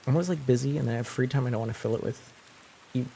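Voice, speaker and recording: A man's voice: mid-range voice, racing, creaky, mellow, slight background noise, low-quality recording. A young man whose first language is French, chatting casually on a live stream, rambling with lots of fillers and restarts. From French-speaking.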